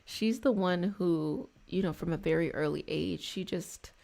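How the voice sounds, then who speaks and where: A woman's voice: on the low side, a little slow, vocal fry, a little mellow. An American woman chatting casually on a livestream, explaining and reflecting in an affectionate way with her listeners. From United States, DMV area around Washington DC. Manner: affectionate, addresses listeners as y'all and babies. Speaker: live stream host and spiritual healing practitioner.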